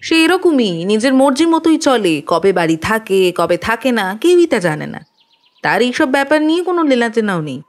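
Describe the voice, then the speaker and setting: A woman's voice: a little high, big pitch swings, normal speed. A woman playing a cool, dismissive lady of the house who is easily annoyed, in an audio detective drama. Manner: indifferent, clipped, dismissive. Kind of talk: acted dialogue in Bengali audio detective drama.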